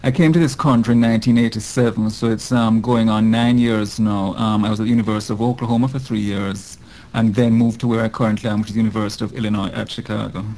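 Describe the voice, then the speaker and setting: A man from Jamaica with a Jamaican accent, a guest professor explaining ideas in a measured, thoughtful way with frequent pauses and ums. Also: on the low side, fairly even intonation, darkish tone. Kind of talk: thoughtful academic discussion.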